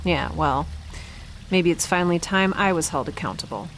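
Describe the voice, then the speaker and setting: A woman playing a guilt-ridden young scientist keeping an audio log in a halting, reflective, self-questioning way, as in an audio drama. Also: lowish voice, animated, a little hiss or hum, poor audio. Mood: bitter, defensive. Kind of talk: audio drama monologue, introspective.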